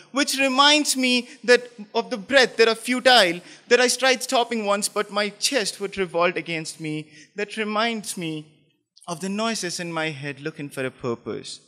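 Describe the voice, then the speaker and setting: A man performing dramatic spoken word poetry with intensity, moving between hushed lines and forceful ones in deliberate phrases. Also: high voice.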